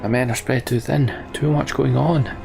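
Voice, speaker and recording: A man's voice: mid-range voice, steady tone, normal speed, vocal fry, over music. A man reading story text from a game aloud and adding casual commentary as he plays. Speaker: let's player.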